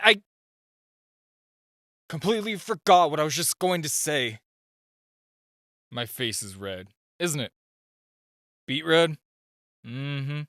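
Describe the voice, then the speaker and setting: A man's voice: a little high, bright, forceful tone, no echo, studio quality, full character voice. A man playing a super-shy, flustered nerdy gadget inventor in an amateur audio roleplay, nervous and prone to stammering. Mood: embarrassed.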